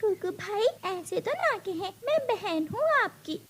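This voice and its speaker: A little girl bird from a cartoon, played by a woman, sweet, clever and brave. The voice is extremely high and lively, with a polite, coaxing plea in it. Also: unhurried, full character voice. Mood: pleading, hurt. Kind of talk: Hindi cartoon story character voice.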